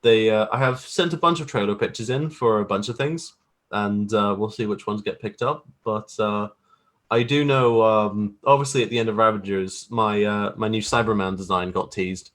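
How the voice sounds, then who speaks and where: Low-voiced man, hesitant and self-deprecating. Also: moderate pace, fairly bright tone. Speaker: guest, graphic artist who makes trailers. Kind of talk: casual interview chat.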